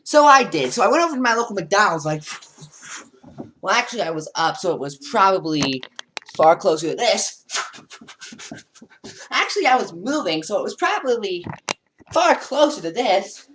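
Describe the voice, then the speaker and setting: A teenage boy tells a rambling parody story, acting out bits as he moves around. His voice sits fairly high and swings widely in pitch. It's caught from across a small basement on a webcam mic, sounding roomy and distant. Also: big pitch swings, normal speed, long pauses, fairly bright tone, slight background noise. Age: teens. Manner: rambling, jokey, acts out scenes while moving around. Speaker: vlogger. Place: small basement room, indoors. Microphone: built-in webcam mic across the room.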